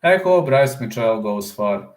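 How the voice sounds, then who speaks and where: A man's voice: mid-range voice, expressive, unhurried. Rambling man on a live stream, roomy echo. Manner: rambling, answers viewer comments aloud; repeats words, often ends with "man". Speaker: combat sports YouTuber. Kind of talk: casual live stream chat.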